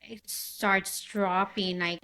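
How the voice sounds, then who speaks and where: A woman's voice: medium pitch, steady tone, very slow, sharp tone. A woman hosting a podcast, talking in a loose conversational way with frequent restarts. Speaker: podcast host. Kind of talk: casual interview chat.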